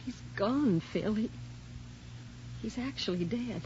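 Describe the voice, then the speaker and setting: An actress playing an anxious, needy, conspiring wife in a 1980s radio drama, speaking earnestly. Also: female voice, on the high side, animated, slow, darkish tone, a little hiss or hum. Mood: stunned relief. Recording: muffled 1980s radio drama recording, music.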